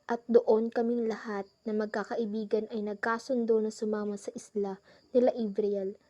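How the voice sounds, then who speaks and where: Earnest, worried young female narrator acted, mellow tone, dry close sound, amateur radio drama. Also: medium pitch, little pitch movement, moderate pace, no room sound, natural voice. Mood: anxious, foreboding. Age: young adult. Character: anxious, cautious young woman narrating her island trip. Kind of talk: scripted first-person radio drama narration. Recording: dull, dry lo-fi student recording.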